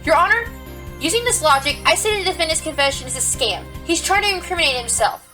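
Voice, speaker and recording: A woman acts as a young female rabbit defense lawyer in a cartoon-style audio drama, earnest and under strain. The voice sits high, recorded echoey and off the mic with music underneath. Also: expressive, fast, distant mic. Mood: assertive, arguing. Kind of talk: fan audio drama acting.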